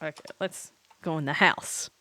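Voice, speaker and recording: A woman's voice: deep voice, moderate pace, bright, forceful tone. A giggly, tipsy woman chatting casually as she streams a game, breaking off to react. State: tipsy, drinking wine. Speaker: streamer, virtual YouTuber playing a game live. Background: faint background music.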